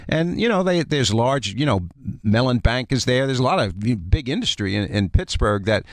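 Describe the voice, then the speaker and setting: A man giving conversational sports analysis as a radio guest, explaining things in a halting way. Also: mid-range voice, sing-song, no room sound, studio quality. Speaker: guest college basketball analyst. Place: radio studio.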